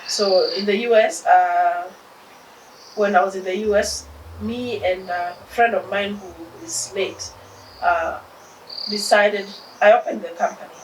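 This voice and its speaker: A woman's voice: mid-range voice, measured pace. Kenyan lady in her 50s chatting away, sounds far off, roomy echo, crickets buzzing behind. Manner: animated, mixes in Swahili phrases, laughs often. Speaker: interview guest. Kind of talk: casual interview chat. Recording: distant mic, echoey room, loud insect noise.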